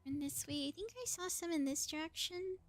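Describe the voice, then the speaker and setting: A woman's voice: sits high, brisk. A woman doing casual live game commentary as a streamer, chatty and thinking aloud as she plays.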